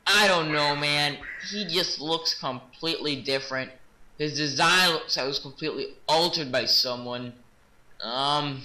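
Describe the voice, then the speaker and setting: A man playing a nervous, bewildered phone caller in a horror game, halting and stammering uneasily. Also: a little high, sharp tone, echoey room, poor audio. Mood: nervous, urgent.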